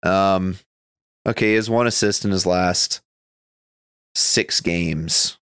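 A man's voice: sits low, slow, fairly bright tone, clear, resonant tone, no echo, clean studio sound. A man co-hosting a podcast, chatting casually about sports in a rambling, conversational way. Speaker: podcast co-host.

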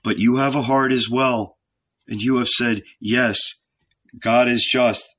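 A man voicing a calm male teacher who tells parables in a measured, solemn manner, in a storybook reading. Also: mid-range voice. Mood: gentle, grave.

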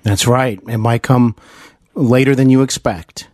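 Podcast host guy, avg pitch, earnest n wordy interview chat, really dry sound. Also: steady tone, unhurried, a little mellow, no room sound. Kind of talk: conversational celebrity interview.

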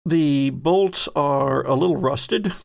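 Guy running a tabletop game, really gravelly creaky voice, describing scenes carefully. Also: a little high, slow. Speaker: game master. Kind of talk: narrating a tabletop roleplaying game.

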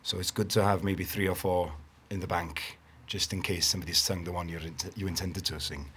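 Man, deep voice, casual chat, laughs easily, faint background ambience. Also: steady tone, a little fast, a bit bright, a little hiss or hum. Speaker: local carol singer.

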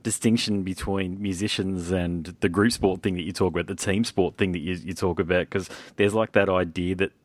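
A man's voice: low voice, fairly even intonation, brisk, few pauses. A young Australian man hosting a podcast interview, chatty and enthusiastic, calling his guest "mate". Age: young adult. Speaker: podcast host. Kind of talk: casual interview.

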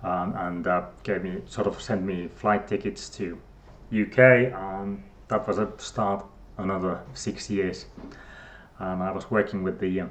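A man's voice: sits low, fairly even intonation, measured pace. A middle-aged man from Finland with a Finnish accent, a guest scientist who talks hesitantly with many fillers and restarts. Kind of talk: casual interview talk.

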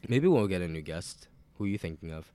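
A podcast host who is sick with a cold and sounds nasal, talking in a loose, rambling, profane way with lots of fillers. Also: male voice, deep voice, animated, brisk, warm tone. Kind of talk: casual rambling chat.

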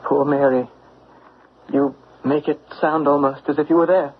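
Male actor as anguished young gentleman, radio drama. Slightly high voice, earnest and wounded, on a tinny old recording. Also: steady tone, moderate pace, lightly acted. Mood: earnest, yielding. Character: anguished, stubborn, loyal young gentleman in love. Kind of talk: classic radio drama acting. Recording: phone-like old radio recording, faint noise.

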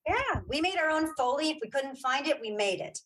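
A woman's voice: sits high, animated, fairly quick, fairly bright tone. A woman speaking as a guest in a casual interview, chatty and informal, often restarting her sentences. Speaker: interview guest, audio drama creator.

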